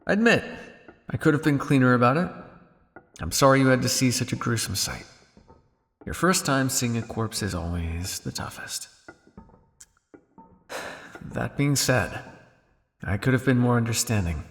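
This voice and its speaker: A man playing a weary, guilt-ridden old vampire scientist in an ASMR roleplay, speaking to the listener in a measured, dryly formal way. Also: medium pitch, expressive, fairly bright tone, in a large hall, soft background music. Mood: regretful, apologetic.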